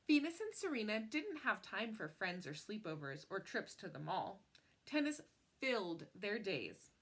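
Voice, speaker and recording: A woman reading a picture book aloud in an expressive storytelling style, phrasing in a measured way and leaning on key words. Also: mid-range voice, husky.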